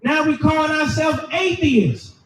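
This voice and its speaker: High-pitched shouting preacher, echoey and distant. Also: male voice, measured pace. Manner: loud, emphatic, preaching to a crowd. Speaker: street preacher. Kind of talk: shouted sermon with scripture reading.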